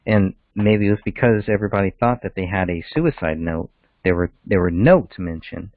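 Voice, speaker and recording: Deep-voiced man on a tinny phone line. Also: normal speed, dry recording. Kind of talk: casual case discussion on a call.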